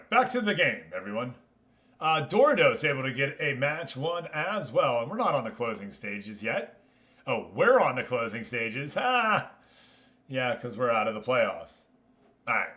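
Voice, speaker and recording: A man's voice: medium pitch, sharp tone. A man hosting a livestream, giving casual game commentary and chatting informally with viewers. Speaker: livestream host.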